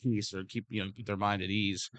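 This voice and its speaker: A man with a slightly hoarse voice, speaking as a security supervisor in casual workplace talk, rambling and restarting his words. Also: lowish voice, flat delivery, a little fast, fairly bright tone, no echo. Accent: Midwestern American.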